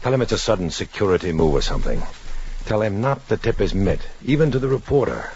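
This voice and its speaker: An actor playing a guarded undercover agent in a 1950s radio drama, terse and hardboiled in narration and dialogue. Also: male voice, on the low side, big pitch swings, fast talker, darkish tone, a little hiss or hum. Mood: urgent. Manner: terse, clipped, hardboiled delivery. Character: undercover agent posing as magazine reporter, guarded, urgent man. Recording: muffled 1950s radio recording, music behind.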